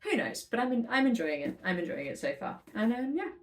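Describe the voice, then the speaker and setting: A woman chatting casually as a book vlogger, informal and a little rambling, often pausing and correcting herself as she goes. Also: fairly high pitch, very animated. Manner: informal, hesitant, self-correcting, rambling storytelling. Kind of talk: casual chatty book vlog, reviewing reading.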